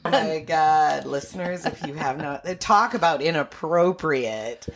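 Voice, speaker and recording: A woman's voice: sits low, measured pace. A woman co-hosting a podcast in casual, comic chat. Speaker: podcast co-host.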